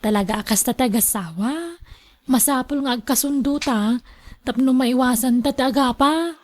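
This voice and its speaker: A young wife in an Ilocano radio drama. Her voice is high-pitched, and she talks politely and a little hesitantly, like a daughter-in-law minding her manners. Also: moderate pace. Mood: questioning, agreeing.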